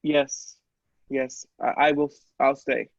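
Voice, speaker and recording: A man's voice: medium pitch, steady tone, slow pace, vocal fry, no echo, lo-fi sound. A man playing an earnest, shaken doctor in a radio play, agreeable and hesitant, with dramatic stammers. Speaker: podcast actor.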